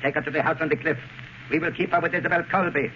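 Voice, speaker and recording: An actor playing a menacing, grandiose cult prophet from India in a theatrical, commanding 1930s radio drama style. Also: male voice, on the high side, steady tone, racing, lightly acted. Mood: sinister command. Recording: muffled, noisy 1930s radio recording.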